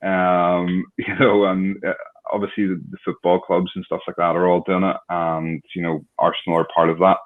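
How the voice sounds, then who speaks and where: A deep-voiced man hosts an online fan chat, friendly and a bit rambling. His voice comes through a thin, phone-like line. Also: little pitch movement, normal speed. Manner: friendly, rambling, restarts often. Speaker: host. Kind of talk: casual chat.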